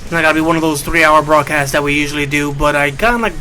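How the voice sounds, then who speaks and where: A man in his late thirties hosting an internet radio show, talking off the cuff in a casual, halting monologue. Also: a little high, sharp tone, faint music behind, low-quality recording. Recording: clear background noise.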